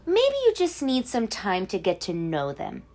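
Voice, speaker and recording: A woman's voice: medium pitch, wildly swooping pitch, measured pace, darkish tone. A woman voicing an eager bee-loving friend in a picture book, coaxing and explaining with enthusiasm.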